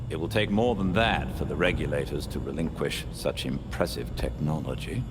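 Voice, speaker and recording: A man playing a formal, measured, articulate ally of the heroes in a video game. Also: extremely low pitch, long reverb tail, noisy background. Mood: dry, composed. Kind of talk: video game cutscene acting.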